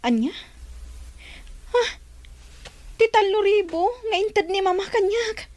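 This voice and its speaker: A woman's voice: extremely high pitch, slow pace, darkish tone. An actress playing a loyal, trusting, easily hurt young woman in love, in a radio drama with plaintive delivery. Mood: troubled, defensive.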